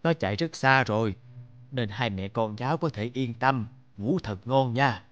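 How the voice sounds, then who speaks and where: A man's voice: medium pitch, normal speed, fairly bright tone, close to an everyday voice. Man voicing a muffled, kindly porcupine uncle. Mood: kindly, reassuring. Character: kindly protective older male porcupine uncle. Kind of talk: cartoon dubbing.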